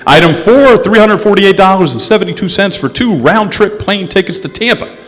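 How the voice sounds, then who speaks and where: A man playing a wry, smooth-talking insurance investigator who narrates his case in the style of a 1950s radio detective drama. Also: high-pitched, lively intonation, fairly quick, fairly bright tone. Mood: wry, confiding. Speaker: stage radio actor. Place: stage in a historic courthouse hall. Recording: echoey hall, music underneath.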